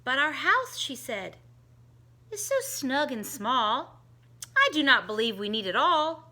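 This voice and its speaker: Slow, sing-song woman reading a storybook. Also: sits high. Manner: slow, sing-song and expressive, with character voices. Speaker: teacher reading a picture book aloud. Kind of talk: storybook read-aloud for children.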